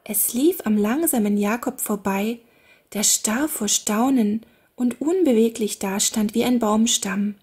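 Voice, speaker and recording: A woman reading a fairy tale aloud for children in a slow, gentle storytelling manner. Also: fairly high pitch, slightly rough. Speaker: audiobook narrator.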